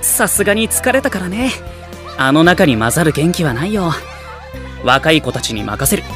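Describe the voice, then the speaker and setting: A man's voice: fairly high pitch, big pitch swings, moderate pace. A man playing an easygoing, weary teenage boy in an anime audio drama, mild and casual but easily flustered. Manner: mild, casual, flustered when put on the spot. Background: music.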